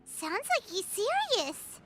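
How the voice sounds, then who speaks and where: An actress voicing a tiny, excitable, childlike fairy companion in a video game, with a squeaky, earnest delivery. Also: female voice, slow, noisy background, heavily acted. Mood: uneasy.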